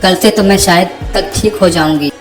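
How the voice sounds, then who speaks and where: A woman's voice: on the low side, moderate pace, natural voice. A woman voicing a sly witch mother-in-law who feigns frailty and sweetness in a horror cartoon. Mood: falsely sweet. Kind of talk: Hindi horror cartoon voice acting. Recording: roomy echo, music behind.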